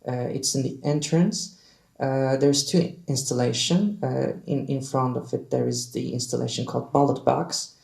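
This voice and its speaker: An artist on a panel, speaking measured, hesitant non-native English about an installation. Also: mid-range voice, lively intonation, gravelly, darkish tone, echoey room. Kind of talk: panel discussion.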